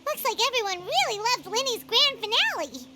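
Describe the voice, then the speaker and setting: A super high squeaky lil fairy sidekick for a game, childlike, swoopy and excitable, bg music. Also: female voice, normal speed, heavily acted. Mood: excited, curious. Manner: speaks of self in third person, childlike. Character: tiny childlike floating fairy companion, chirpy and excitable. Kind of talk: English video game voice acting.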